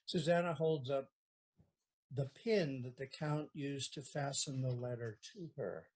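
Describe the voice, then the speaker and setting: A narrator with a mid-range voice reads stage directions slowly. It is scripted narration, setting scenes for an audio play. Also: medium pitch, a little mellow.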